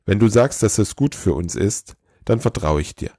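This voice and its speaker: A man's voice: on the low side, expressive, moderate pace, warm tone, breathy edge, no room sound, clean studio sound. A man voicing a weary, kindly old Santa Claus in a storybook tale, ponderous and soothing.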